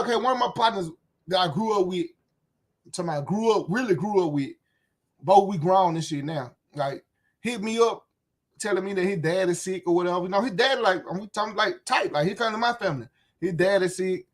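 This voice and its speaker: A man's voice: high-pitched, normal speed. A man in his late thirties with a Memphis accent, ranting bluntly and profanely in a casual, repetitive way outdoors. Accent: Southern US, Memphis. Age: 30s. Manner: blunt, profane, repetitive, frequent "you know what I'm saying". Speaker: YouTube commentator. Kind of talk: casual rant. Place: outdoors, windy.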